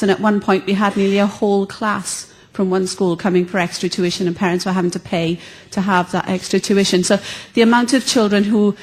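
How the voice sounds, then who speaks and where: A middle-aged woman, a former teacher who now runs a tuition business, speaking earnestly from the audience with many fillers. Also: medium pitch. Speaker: audience questioner, tutor.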